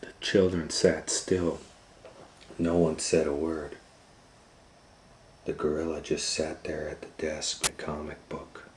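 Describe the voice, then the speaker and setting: Man, children's storytime reader, animated storytelling, measured pace, echoey room. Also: extremely low pitch, a little hiss or hum. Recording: roomy echo.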